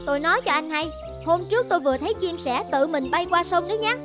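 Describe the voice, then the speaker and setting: High, sassy little cartoon bird. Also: female voice, expressive, fast talker, music in the background. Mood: scolding. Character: feisty, chatty small female forest birds. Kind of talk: children's cartoon dialogue.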